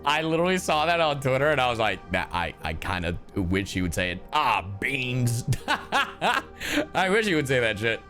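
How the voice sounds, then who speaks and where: A man's voice: mid-range voice, sing-song, moderate pace, bright, forceful tone. A man doing casual live reaction commentary on a game, slangy and prone to swearing. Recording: game music faintly behind.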